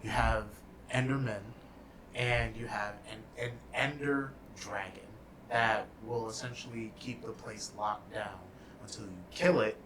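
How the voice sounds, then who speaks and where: Slow-talking young man explaining games. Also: on the low side, fairly even intonation, background noise. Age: young adult. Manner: slow, thoughtful explainer with trailing fillers. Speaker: podcast co-host. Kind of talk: casual chat about games and movies.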